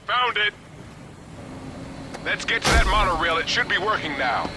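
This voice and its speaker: A man playing a principled, gruff, blunt military contractor in a video game cutscene. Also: high-pitched, lively intonation, moderate pace, noisy background. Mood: focused.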